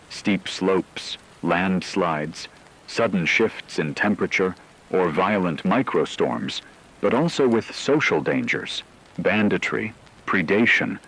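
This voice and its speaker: A man performs a grave radio disaster correspondent with a low, husky voice. He delivers formal, scripted narration in a solemn and measured way, as in a radio drama. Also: a bit bright, exaggerated voice, rough recording. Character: grave male radio correspondent reporting a global catastrophe.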